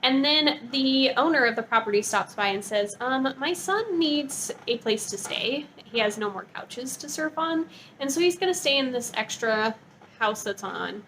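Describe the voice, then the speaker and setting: A woman's voice: sits high, lively intonation, moderate pace, fairly bright tone, slight background noise, poor audio. A woman giving a casual, chatty book review in a bubbly, gushing, informal way.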